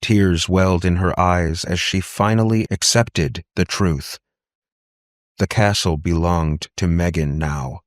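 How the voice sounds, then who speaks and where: A man with a deep voice reads a dramatic story. He takes his time, measured and deliberate with each phrase. The recording is dry, with no room around the voice. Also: no echo. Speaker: audiobook narrator. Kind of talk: scripted dramatic story narration.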